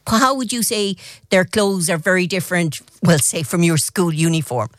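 Low-voiced woman reporting local news. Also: normal speed, no room sound, studio quality.

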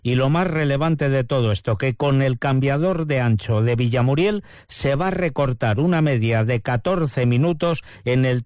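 A man's voice: medium pitch, a little mellow, no echo. Spanish newsman with a dry studio sound. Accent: Spanish from Spain. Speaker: radio news presenter. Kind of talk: local radio news presenting.

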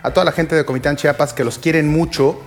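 A man's voice: a little high, steady tone, fast. A Mexican man chatting on a radio show, talkative and fond of sending greetings and repeating himself. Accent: Mexican Spanish. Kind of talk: casual radio banter.

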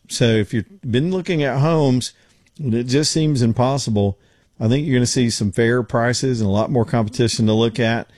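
Hoarse talk radio host. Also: male voice, fairly low pitch, darkish tone, dry recording, clean studio sound. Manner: loose and rambling, with many fillers and restarts. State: getting hoarse. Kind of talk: casual talk radio with live read ads.

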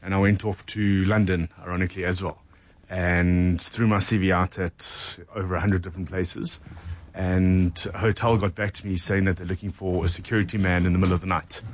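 A man's voice: low voice, normal speed. A man in his thirties from South Africa, a head chef recounting his career step by step in a studio interview. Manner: steady, methodical recounting. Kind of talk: casual interview storytelling. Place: radio studio.

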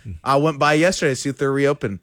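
A man's voice: mid-range voice, animated, very fast, fairly bright tone, dry recording. Male radio co-host, earnest storyteller, dry close sound.

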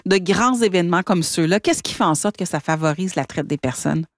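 A woman hosting live radio in a conversational, halting way, often rephrasing as she goes. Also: fairly low pitch, lively intonation, no room sound, studio quality. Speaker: radio host. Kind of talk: live radio presenting and interviewing.

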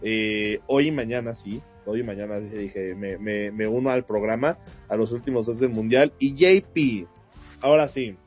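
A Mexican man with a Mexican Spanish accent, co-hosting a casual sports chat show, talking in an animated, mocking way and often laughing. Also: on the low side, moderate pace, slightly rough, highs cut off, faint music behind. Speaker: show co-host.

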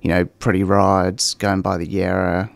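A young man with a New Zealand accent, chatting as a guest on a talk show with a relaxed manner and lots of ums. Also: low voice, measured pace, creaky, dry recording. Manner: relaxed, many ums and fillers. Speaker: guest, cycling content creator. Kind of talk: casual interview chat.

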